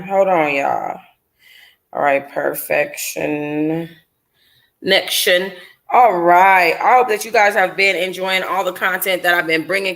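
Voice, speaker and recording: A woman's voice: lowish voice, vocal fry, fairly bright tone. A woman hosting a book club, reading aloud casually with informal, sassy, blunt asides.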